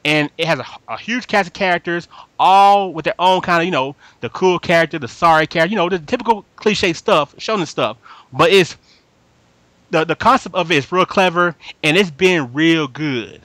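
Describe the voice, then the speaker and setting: A man's voice: a little high, moderate pace, a bit bright, dry recording. A man hosting a casual fan chat, talking emphatically in slangy speech, repeating himself and often checking that listeners follow. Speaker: podcast host reviewing anime.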